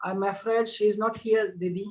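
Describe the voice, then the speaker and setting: A woman's voice: mid-range voice, fairly even intonation, normal speed, darkish tone, poor audio. A woman with an Indian accent chatting casually as co-host on a video call. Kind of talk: casual chat.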